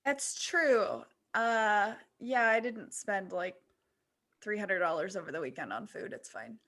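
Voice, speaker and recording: Bright-voiced woman, candid and breezy. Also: mid-range voice, expressive, unhurried. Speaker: podcast co-host. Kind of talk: casual panel podcast chat.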